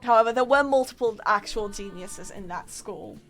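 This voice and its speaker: A woman's voice: mid-range voice, normal speed, a bit bright, soft background music. A young woman from Poland, a native Polish speaker, telling a story to her stream chat in a giggly, self-mocking way.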